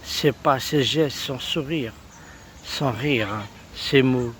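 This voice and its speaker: A man reciting love poetry in a solemn, lyrical way, in short halting phrases. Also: mid-range voice, a bit bright, slight background noise. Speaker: poet reciting own poems.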